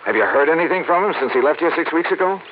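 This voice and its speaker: A brisk, wisecracking insurance investigator, acted by a man in a vintage radio play, his pitch swinging widely as he pushes for answers. The sound is thin and phone-like, with a heavy hiss behind him. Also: lively intonation, natural voice. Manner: quick, wry, urgent. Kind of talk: 1950s radio drama acting and narration. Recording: scratchy lo-fi old radio broadcast.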